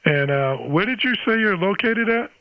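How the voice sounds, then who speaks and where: A man's voice: sits high, animated, moderate pace, very noisy. A man with an American accent holds a casual ham radio exchange, conversational and sprinkled with operator jargon. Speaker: amateur radio operator, channel host. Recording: dull, band-limited radio audio.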